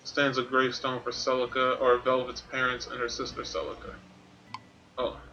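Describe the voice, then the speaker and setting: Bright-voiced man, casual gaming commentary. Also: medium pitch, monotone, normal speed, muffled recording, slight background noise. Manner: casual, musing, slangy. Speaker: gaming streamer. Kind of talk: casual let's play commentary. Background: game music.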